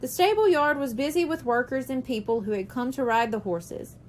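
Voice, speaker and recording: A woman's voice: sits high, moderate pace, a little hiss or hum. A woman reading a children's storybook aloud as scripted narration. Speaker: audiobook reader.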